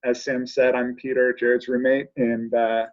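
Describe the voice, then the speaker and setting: A man's voice: mid-range voice, level tone, normal speed, lo-fi sound. A man in his twenties from South Africa, talking casually about himself as a podcast guest on a video call. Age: 20s. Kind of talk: casual personal talk. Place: indoor room at home, video call.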